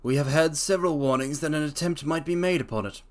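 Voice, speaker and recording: Man voicing a solemn fussy bank director, hushed, formal, slightly raised pitch. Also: fast, lightly acted.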